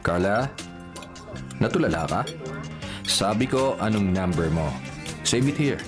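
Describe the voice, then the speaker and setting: A man's voice: fairly low pitch, expressive, a little slow. Young male professor, Filipino accent, suave, teasing, smitten, radio romance drama, music underneath. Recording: music bed behind the voice.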